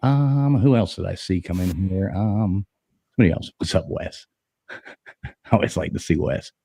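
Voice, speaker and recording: Chill guy hosting trivia on stream, husky, bouncy pitch, calls out chat names. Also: lively intonation, moderate pace, dark tone, dry recording, studio quality. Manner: laid-back, greets chat by name. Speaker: stream co-host.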